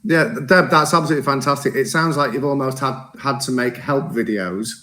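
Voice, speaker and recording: A man's voice: mid-range voice, brisk, pushed and bright. A man hosting an online panel discussion, upbeat and full of praise. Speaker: host. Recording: echoey room.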